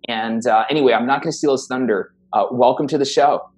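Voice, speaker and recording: A man's voice: medium pitch, quick pace, a little mellow, breathy edge. A man hosting a health podcast, enthusiastic and rambling, often repeating words as he asks questions. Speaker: podcast host. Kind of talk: hosting an interview.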